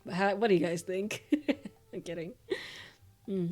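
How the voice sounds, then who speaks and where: A young Australian woman chats on a live stream in a loose, rambling way, with plenty of fillers and the odd casual swear. Her voice sits at a middling pitch for a woman. Faint music plays softly behind her. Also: a little slow.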